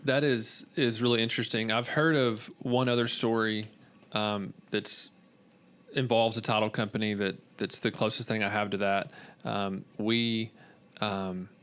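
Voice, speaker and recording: A man in a casual podcast conversation, telling things in a halting, pausing way. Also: fairly low pitch, little pitch movement, fairly bright tone, clean tone.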